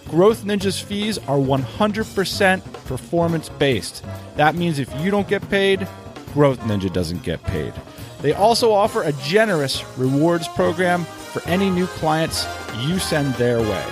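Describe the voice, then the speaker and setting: Higher-pitched male podcast host, light music behind. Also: sing-song, unhurried. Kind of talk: scripted podcast interview questions and sponsor read.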